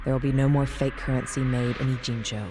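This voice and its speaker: An actress playing a proud, resolute female crime boss in a video game dub, speaking in a formal, measured and commanding way. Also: rumbling low, little pitch movement, noisy background. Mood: grave, decisive. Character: proud, resolute female underworld boss giving grave orders.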